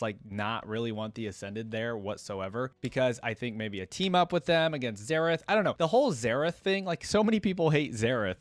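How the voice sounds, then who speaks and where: A man's voice: mid-range voice, no echo. Guy doing a reaction video, mid voice, chatty and rambly, really dry room sound. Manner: rambling, conversational, filler "like". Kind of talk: casual commentary.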